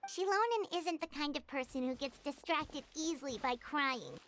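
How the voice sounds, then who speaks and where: Very high, squeaky fairy sidekick. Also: female voice, lively intonation, normal speed, a bit bright, a little hiss or hum. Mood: encouraging. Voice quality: squeaky, childlike. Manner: emphatic, exclamatory. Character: small perky childlike fairy companion, fiercely supportive. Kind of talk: video game voice acting. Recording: game music underneath.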